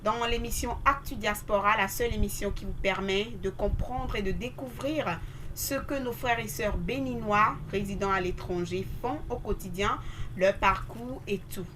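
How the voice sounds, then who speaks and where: A woman's voice: medium pitch, normal speed, background noise. A woman with a Beninese accent hosting a formal broadcast interview. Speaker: interview host.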